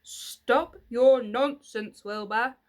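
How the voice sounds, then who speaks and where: A woman's voice: on the high side, very slow, a little mellow. A woman voicing a stern old sheep in a storybook, scolding in an emphatic, reproving way.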